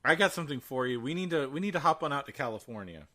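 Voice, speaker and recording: A man's voice: mid-range voice, lively intonation, fast, sharp tone. A man co-hosting a casual podcast, emphatic and fond of repeating phrases for emphasis. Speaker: podcast co-host. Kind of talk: casual horror news chat.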